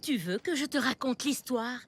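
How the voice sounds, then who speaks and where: A woman's voice: high voice, expressive, normal speed, slight background noise. A woman playing a doting, teasing mother in a French cartoon, cooing and telling things theatrically. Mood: dramatic storytelling.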